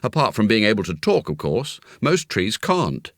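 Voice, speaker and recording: Man as a cheeky, higher-pitched young boy. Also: huge pitch swings, moderate pace, a bit bright, no echo, clean studio sound, exaggerated voice. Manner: blunt, answering back.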